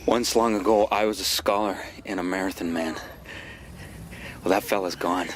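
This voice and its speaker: An actor playing a bitter young avenger in a radio drama, deliberate and menacing, often hushed. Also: male voice, deep voice, steady tone, lightly acted. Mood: bitter, vengeful. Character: hardened young avenger, bitter, cold and merciless. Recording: faintly noisy radio drama recording.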